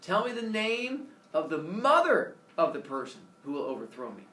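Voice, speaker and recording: A man voicing a bossy, short-tempered king of the gods in a storytelling lesson, loud and demanding. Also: sits high, very animated, moderate pace, voice pushed up, exaggerated voice. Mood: scheming, threatening. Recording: roomy, distant mic, faint noise.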